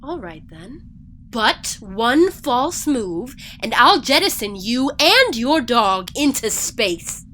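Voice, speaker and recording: An actress playing a stern, secretive and impatient alien treasure hunter in an audio drama, speaking in a curt, commanding manner. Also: female voice, a little high, sing-song, takes it slowly, pushed and bright, exaggerated voice. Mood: threatening.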